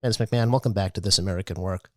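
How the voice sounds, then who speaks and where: A man hosting a podcast, giving scripted public-radio-style narration in a measured, earnest way. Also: sits low, a bit bright. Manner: measured, earnest storytelling delivery. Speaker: podcast host.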